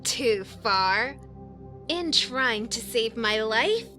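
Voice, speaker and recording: A woman's voice: on the high side, lively intonation, extremely slow, bright, forceful tone, exaggerated voice. A woman playing a defiant, desperate young angel in an anime visual novel, with dramatic delivery. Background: background music.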